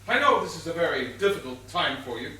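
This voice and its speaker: A man's voice: a little high, very animated, fast talker, sharp tone, slight background noise. An actor on stage playing a veteran police inspector, stern, formal and exasperated as he interrogates a suspect. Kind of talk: stage comedy acting. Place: stage of a theatre. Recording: echoey theatre, distant mic.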